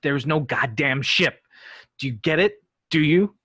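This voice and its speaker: Man playing a sharp, bright-voiced washed-up actor. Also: on the high side, unhurried, slightly rough, no room sound, heavily acted. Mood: angry, exasperated. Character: bitter, irritable washed-up male TV actor. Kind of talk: amateur screenplay table read.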